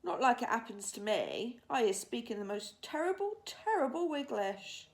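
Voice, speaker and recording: A woman voicing a big, gentle old male giant from a storybook who jumbles his words eagerly. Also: on the high side, sing-song, moderate pace, vocal fry, pitched above the performer's own voice. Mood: earnest.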